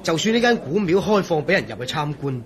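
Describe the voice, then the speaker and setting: Radio drama support: earnest engineer, Hong Kong Cantonese, slightly high voice, very lively and emphatic. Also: male voice, fast talker. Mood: cooperative. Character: earnest, regretful male engineer in charge of waterworks.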